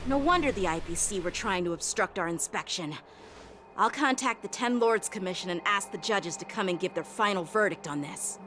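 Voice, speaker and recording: A woman plays an earnest young officer in a fantasy video game. The mid-pitched voice is formal and decisive, carrying authority over loud background music. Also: lively intonation, brisk, lightly acted. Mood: serious, courteous.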